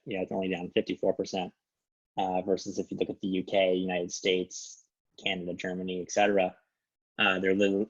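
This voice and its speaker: A man's voice: low voice, slightly rough. A man presenting an informal market briefing on an investment conference call, with dull, lo-fi call audio.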